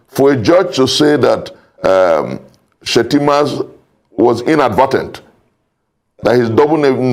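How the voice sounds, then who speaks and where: A man's voice: mid-range voice, creaky, darkish tone. A Nigerian man giving argumentative political commentary on a panel, emphatic and formal, repeating phrases for weight in legalistic language.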